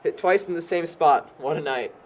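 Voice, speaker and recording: A man's voice: fairly high pitch, normal speed, darkish tone, slight background noise, close to an everyday voice, rough recording. An actor playing a defensive, cynical young man in an amateur audio drama, arguing with sarcasm. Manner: sarcastic, argumentative.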